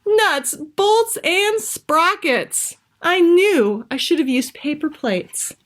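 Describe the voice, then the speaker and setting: A woman voicing a spirited, stubborn, inventive young girl with an expressive, dramatic manner in a children's picture book. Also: extremely high pitch, takes it slowly, pitched above the performer's own voice, heavily acted. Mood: excited.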